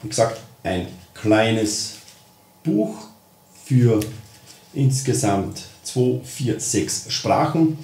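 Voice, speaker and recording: A man hosting a casual product review, speaking deliberately with emphasis on key words. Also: fairly low pitch, lively intonation, a little mellow. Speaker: host of a fishing channel. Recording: roomy echo, distant microphone.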